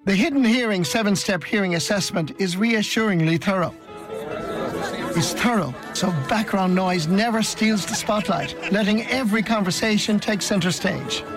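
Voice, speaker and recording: A man reading a radio advert in a calm, reassuring way. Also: high-pitched, moderate pace, music in the background, crowd noise.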